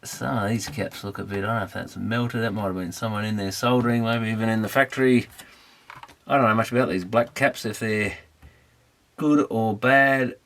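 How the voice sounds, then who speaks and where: A man's voice: on the low side, fairly even intonation, normal speed, fairly bright tone, a little hiss or hum. A man thinking aloud in a casual, unscripted workbench commentary, muttering tentatively as he works. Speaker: amateur electronics repairer presenting. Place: repair workbench indoors.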